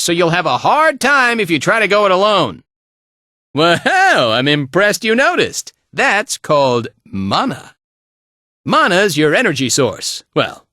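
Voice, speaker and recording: Laid back but spirited video game fighter guy, super lively pitch, dry close sound. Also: no echo, exaggerated voice. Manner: breezy, colloquial, tagging lines with casual check-ins. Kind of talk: animated video game voice acting.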